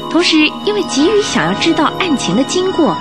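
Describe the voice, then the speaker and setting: A woman's voice: very high-pitched, sing-song, a little fast, over music. A woman narrating a radio drama in a scripted, dramatic storytelling style.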